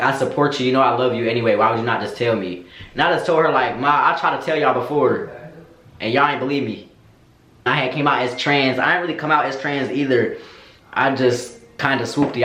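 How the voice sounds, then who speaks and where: Young man, vlogger, sassy and candid, slang-heavy talk, mid pitch, recorded in a roomy echoey space. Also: a bit bright. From United States. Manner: sassy, candid, slangy, addresses viewers as girl. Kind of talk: casual Q&A chat to camera. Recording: echoey room.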